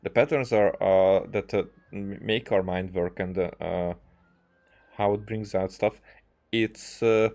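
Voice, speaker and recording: A man giving casual let's-play commentary, rambling and often halting as he searches for his words. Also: on the low side, fairly even intonation, vocal fry, darkish tone. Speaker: game streamer, commentator. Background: faint game music.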